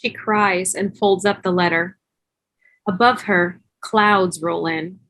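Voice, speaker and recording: A woman's voice: medium pitch, fairly even intonation, slow pace, fairly bright tone, dry recording, rough recording. A woman reading stage directions as scripted narration in an online table read over a video call.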